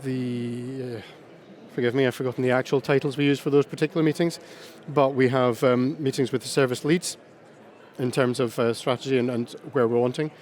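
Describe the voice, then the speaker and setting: A man speaking at an awards panel, halting and full of ums and pauses. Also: mid-range voice, steady tone, a little mellow. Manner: halting, many ums and uhs, slow pauses. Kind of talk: hesitant conference talk.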